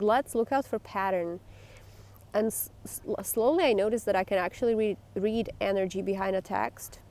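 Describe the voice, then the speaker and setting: Blunt woman with a gravelly voice. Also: mid-range voice, a little mellow. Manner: blunt, emphatic and swearing. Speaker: vlogger. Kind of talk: candid talk to camera.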